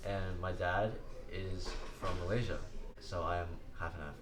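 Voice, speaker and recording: A man's voice: deep voice, steady tone, normal speed, far from the mic. A teenage boy briefly explaining where his parents are from, in a plain way. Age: teens. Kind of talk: casual interview answer. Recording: background noise.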